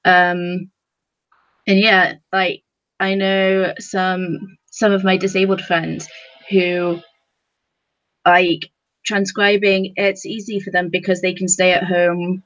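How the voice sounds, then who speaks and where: A woman's voice: fairly low pitch, flat delivery, takes it slowly, fairly bright tone. A woman chatting casually as a podcast panelist, heard through somewhat dull, lo-fi sound.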